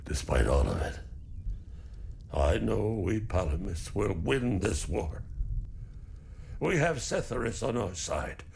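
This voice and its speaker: Grieving old farmer, low gravelly voice, weary, stoic, embittered, measured, video game dialogue. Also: male voice, very animated, darkish tone, a little hiss or hum, exaggerated voice. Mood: grim, convinced. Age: older. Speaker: non-player character.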